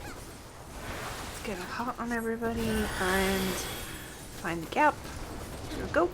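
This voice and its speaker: A woman's voice: a little high, animated, slow. A woman giving casual live gameplay commentary in short, offhand remarks, talking to herself and the game. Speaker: game streamer. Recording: clearly noisy stream with background ambience.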